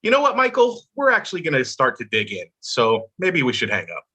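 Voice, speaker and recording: A man's voice: on the high side, wildly swooping pitch, fairly quick, bright, forceful tone, husky, full character voice. A man acting a politely reluctant friend in a comedy-drama table read, hedging and awkward.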